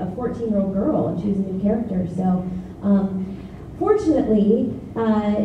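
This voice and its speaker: A woman's voice: a little high, a little hiss or hum. A woman voice actor telling self-mocking anecdotes on a convention panel, with fillers and restarts. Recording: echoey hall from far off, muffled.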